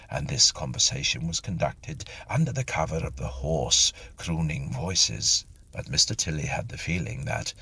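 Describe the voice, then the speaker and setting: A man reading a literary story aloud in an expressive, theatrical narrating style. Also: extremely low pitch, breathy edge. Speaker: story reader.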